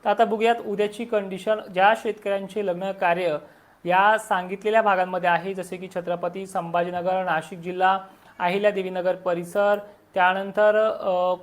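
A woman with a Maharashtrian Marathi accent gives an informal weather forecast for farmers, explaining as she lists districts. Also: on the low side. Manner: explanatory, listing districts one after another.

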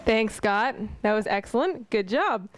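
A young woman presenting at a community meeting, reading a speaker introduction from her notes in a steady read-aloud way. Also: fairly high pitch, unhurried, a little hiss or hum. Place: high school music room, small stage.

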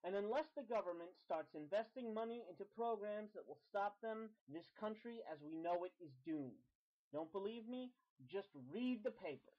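A man's voice: sits high, expressive, moderate pace. An actor playing a ranting, alarmist male TV commentator, heard through a television. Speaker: radio or TV commentator. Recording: dull lo-fi TV broadcast sound.

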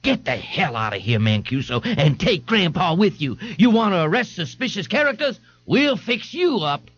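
A man voicing a gruff, exasperated police sergeant, barking and sarcastic, in an audiobook. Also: high-pitched, very animated, moderate pace, a bit bright, dull audio, pitched above the performer's own voice, exaggerated voice.

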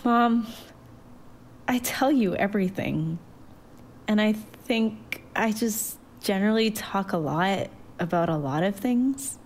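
A thoughtful, anxious growing girl in a storybook tale, voiced by a woman narrator. She is quiet and hesitant, feeling her way slowly through each sentence, over a faintly noisy recording. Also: a little high, very animated.

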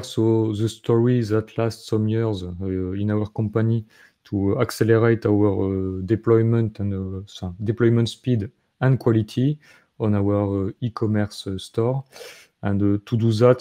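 A man in his thirties from France with a French accent, giving a recorded conference talk from a room at home in a halting way full of fillers and restarts. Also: fairly low pitch, dark tone. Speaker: conference speaker.

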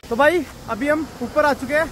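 A man's voice: very high-pitched, moderate pace. A man vlogging outdoors by a waterfall in a chatty, joking way, calling his viewers brother. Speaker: travel vlogger. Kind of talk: casual vlog narration. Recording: noisy outdoor recording.

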